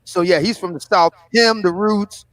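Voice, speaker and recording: A man's voice: high-pitched, steady tone, normal speed, fairly bright tone, no room sound, rough recording. A man in his fifties with a Philadelphia accent, chatting casually and asking leading questions as a panel host. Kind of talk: casual livestream chat.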